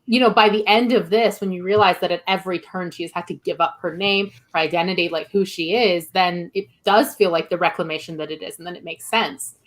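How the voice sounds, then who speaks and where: A woman's voice: lowish voice, steady tone, a little fast, few pauses, a bit bright. A woman guesting on a podcast, discussing a review in a casual, conversational way peppered with "like".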